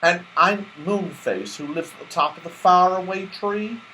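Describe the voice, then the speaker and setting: Male reader as storybook toy bear, eager to please, hesitant and stammering, high in pitch. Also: unhurried, sharp tone, slight background noise, poor audio. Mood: friendly.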